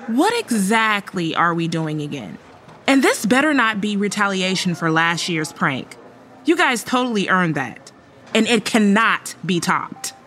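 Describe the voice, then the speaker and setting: A young woman acting a sleepless, stressed college student, with an expressive, anxious delivery in an audio drama. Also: medium pitch, measured pace, faint music behind. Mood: skeptical. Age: young adult. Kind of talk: dramatic dialogue in old time radio style audio play.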